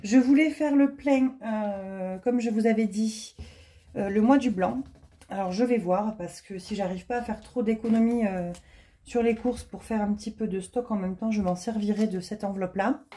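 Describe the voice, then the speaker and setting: Woman, late thirties, casual home vlog, warm relaxed tone, chatty, self-deprecating, frequent laughs. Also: medium pitch, normal speed. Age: 30s. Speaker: budgeting vlogger. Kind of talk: casual chat to camera. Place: home, indoors.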